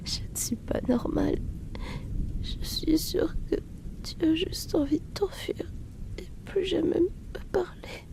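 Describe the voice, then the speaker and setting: A woman playing a shy, self-loathing young male outcast in a hesitant, breathy whispered ASMR roleplay. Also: extremely high pitch, darkish tone, full character voice, poor audio. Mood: hurt, pleading. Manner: hesitant, apologetic, halting.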